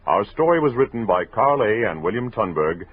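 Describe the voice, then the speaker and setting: A man's voice: lowish voice, big pitch swings, moderate pace, breathy edge. A man reading closing credits as a formal 1960s radio announcer. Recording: muffled old radio recording.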